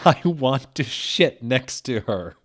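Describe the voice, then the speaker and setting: Man shouting as a high-pitched furious teenage boy. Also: big pitch swings, slow pace, heavily acted. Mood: furious, jealous. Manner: loud, overwrought shouting. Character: furious foul-mouthed jealous teenage boy, brawling over a girl.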